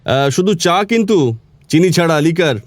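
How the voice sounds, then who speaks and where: An actor playing a courteous but suspicious local police officer in an audio drama, asking probing questions. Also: male voice, a little high, expressive, normal speed, natural voice. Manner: probing questions, hesitant repeated phrases. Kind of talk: Bengali audio drama acting.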